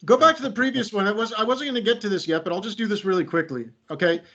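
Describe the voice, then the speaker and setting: A man's voice: high voice, racing, pushed and bright. A middle-aged American man leading a webinar as a creativity coach, talking through slides in a loose, joking, conversational way. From United States. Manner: conversational, lightly joking about himself. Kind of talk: online presentation walking through slides. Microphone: video call. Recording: slightly muffled video call audio.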